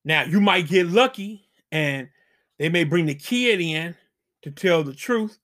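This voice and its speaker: A man's voice: fairly high pitch, expressive, measured pace, a bit bright. A middle-aged man hosting a channel, giving casual dating advice as an emphatic, preachy warning full of slang.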